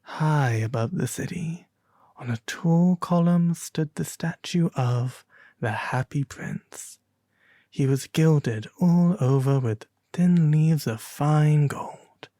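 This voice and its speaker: A man voicing an aristocratic male demon prince, pompous and refined, with soft, sometimes breathy, drawn-out delivery in an ASMR roleplay reading. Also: fairly high pitch, no echo, studio quality. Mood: gentle, storytelling.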